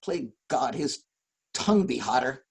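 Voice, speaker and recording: An actor playing Falstaff, a fat boastful old knight, in a slow, theatrical Shakespeare reading over a video call. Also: male voice, mid-range voice, expressive, vocal fry, husky, heavily acted. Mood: blustering. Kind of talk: live-streamed Shakespeare scene reading.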